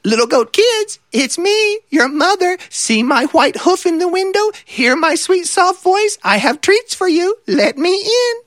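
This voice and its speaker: Brief: a sly hungry big bad wolf for live storytelling, gruff then mock-sweet, very lively pitch. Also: male voice, no room sound, clean studio sound, exaggerated voice. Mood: fake sweet falsetto. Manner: growls low and slow, then fakes a squeaky sweet voice.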